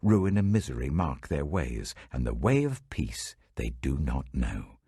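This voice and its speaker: A man giving a measured, dramatic reading of Bible passages in a close studio recording. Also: breathy edge, dry recording. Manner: measured, dramatic, lively pitch shifts. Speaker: Bible reader. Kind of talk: expressive scripture reading.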